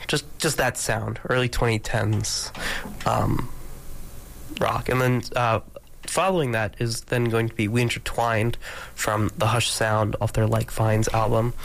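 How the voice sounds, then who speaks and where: Congested male DJ, gravelly, rambling casual studio patter. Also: medium pitch, low-quality recording. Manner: rambling, many ums and restarts. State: congested from allergies. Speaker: radio DJ. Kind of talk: casual radio chat between songs. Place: radio studio.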